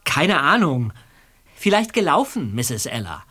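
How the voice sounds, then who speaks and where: A flustered young detective under questioning. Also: male voice, a little high, big pitch swings, unhurried, sharp tone, slightly rough, full character voice. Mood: defensive. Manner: defensive, flustered. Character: young male detective under interrogation, nervous. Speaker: suspect in a radio play. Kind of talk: radio play acting.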